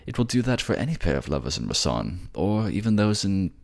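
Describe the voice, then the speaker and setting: Man voicing a lively, courtly young fantasy prince. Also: low-pitched, fairly quick, gravelly, pitched above the performer's own voice, heavily acted. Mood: earnest, explaining. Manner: chatty, courtly, teasing. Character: kind, playful young prince, gently teasing, reassuring. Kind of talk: fantasy audio drama acting.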